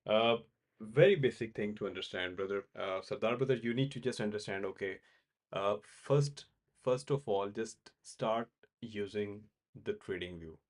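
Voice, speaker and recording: Man from Pakistan, low voice, halting casual livestream talk full of "uh" and repeats. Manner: halting, many fillers and repeated words. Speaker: crypto trading livestream host. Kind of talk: casual live-stream chat answering viewers.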